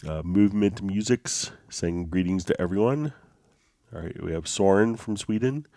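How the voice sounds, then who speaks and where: A man hosting a live software tutorial stream, sounding a little congested from a slight cold, talking in an easygoing way with frequent fillers and restarts. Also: low voice, moderate pace, no echo, clean studio sound. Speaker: host.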